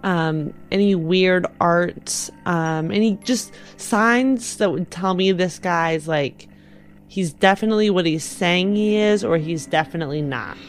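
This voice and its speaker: A woman's voice: on the low side, unhurried, faint music behind. A woman playing a tabletop roleplaying game, casual and hesitant as she thinks aloud.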